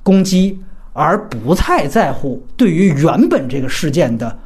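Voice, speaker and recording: A man's voice: a little high, big pitch swings, unhurried, a little mellow, husky. A man hosting a film discussion, giving his opinions analytically and emphatically, explaining point by point. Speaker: film podcast host.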